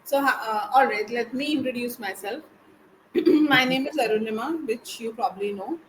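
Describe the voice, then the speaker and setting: A woman's voice: on the high side, moderate pace, slight background noise, low-quality recording. A young woman, a tutor asking friendly, curious questions. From India. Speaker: English tutor. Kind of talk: casual online conversation.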